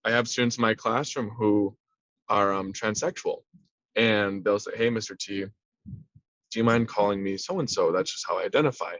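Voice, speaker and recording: Animated young man on dull dry audio. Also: lowish voice, moderate pace, no room sound. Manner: animated, acts out voices in quoted asides. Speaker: middle school teacher, guest. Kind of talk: casual conversational interview.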